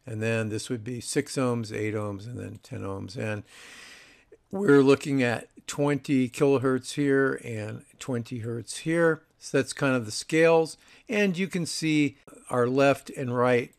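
A man's voice: mid-range voice, a little slow, creaky. A man presenting an audio review, explaining technical details in a casual, informal way.

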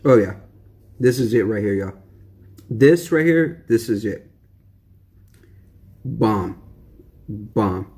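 A man's voice: lowish voice, animated, slow, pauses often, darkish tone. A young man chatting casually to the camera in an eating-show vlog, slangy and rambling with lots of fillers. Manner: laid-back, slangy, rambling with many fillers. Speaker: mukbang vlogger.

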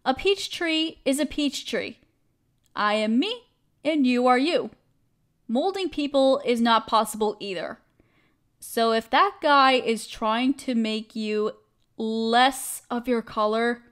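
A woman's voice: a little high, slow pace. A woman playing a caring, protective best friend in a comforting audio roleplay, speaking earnestly and reassuringly, straight to the listener.

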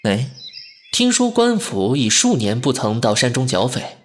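A man playing a refined, caring but sharp-tongued young bandit leader in a Chinese period audio drama, speaking earnestly in literary phrases. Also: mid-range voice, huge pitch swings, a little slow, breathy edge, heavily acted. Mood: weary.

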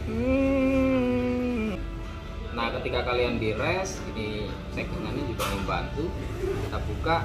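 Chatty man demoing gear in echoey shop. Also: mid-range voice. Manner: relaxed, chatty, addresses viewers as friends. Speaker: motorcycle gear shop owner demonstrating a product. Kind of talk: casual hands-on product demo. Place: indoor motorcycle shop. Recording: echoey room with background music. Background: background music.

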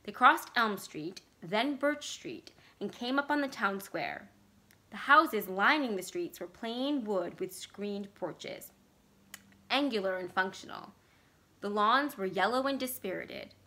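A woman's voice: mid-range voice, lively intonation, takes it slowly, sharp tone. A middle-aged woman reading a story aloud as narrator. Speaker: library staff reader. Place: small furnished room indoors.

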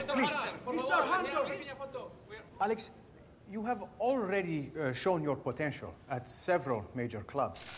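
High-voiced, animated press reporter. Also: male voice, slight background noise. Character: eager, pushy male press reporter in Spain. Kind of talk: video game cutscene acting.

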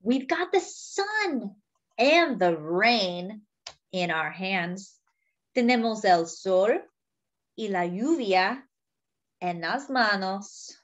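Slow, lively woman reading to children. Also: medium pitch. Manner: simple phrases, pointing things out to children. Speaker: children's read-aloud storyteller. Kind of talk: picture-book read-aloud for young children, partly sung.

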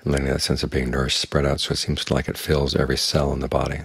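An older man, a Buddhist monk, giving a calm, plain-spoken evening dharma talk that leans on homely images. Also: very deep voice, fast talker, vocal fry, slightly rough, no echo.

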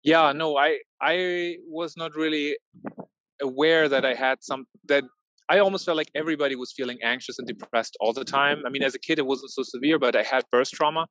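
A man's voice: a little high, brisk, a bit bright, dry recording, rough recording. A man in his thirties from Norway and Germany, speaking hesitantly as a guest over a video call from a marina. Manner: hesitant, many fillers and restarts. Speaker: guest, tech founder. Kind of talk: casual interview talk. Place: outdoors at a marina.

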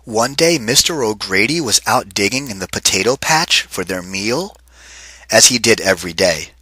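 A man reading a children's picture book aloud, expressive and lively, pausing to ask kids questions. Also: lowish voice, husky, dry recording. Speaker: read-aloud teacher.

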